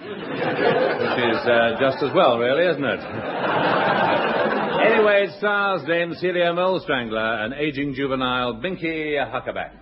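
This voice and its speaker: A man's voice: mid-range voice, animated, unhurried, long pauses, a loud crowd. Dry, mock-formal radio show host, man. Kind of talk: scripted comic links. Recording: dull 1960s radio recording with hiss.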